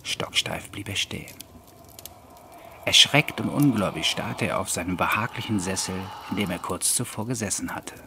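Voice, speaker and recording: A male narrator with a fairly low voice reads an eerie literary story. The delivery is scripted audiobook narration, and the recording carries clearly audible noise. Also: normal speed.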